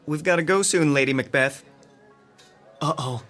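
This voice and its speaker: A man's voice: a little high, moderate pace, fairly bright tone, soft background music, close to an everyday voice. A man playing a skeptical stage actor in an audio drama, sardonic and a little hammy. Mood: droll. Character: droll, skeptical stage actor, mockingly theatrical.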